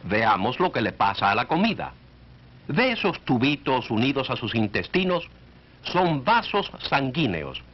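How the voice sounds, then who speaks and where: Man narrating, dull vintage soundtrack, animated pitch. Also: a little slow. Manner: instructive, addressing the listener directly. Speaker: narrator of an educational cartoon. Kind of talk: 1940s scripted educational film narration. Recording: dull, band-limited 1940s film soundtrack.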